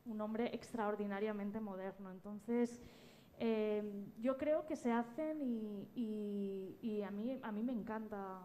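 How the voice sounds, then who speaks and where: A woman's voice: mid-range voice, steady tone, takes it slowly, mellow, slight background noise. A woman with a Spanish accent from Spain, speaking enthusiastically and conversationally as a panelist at a live round-table event. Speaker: panelist, podcast producer.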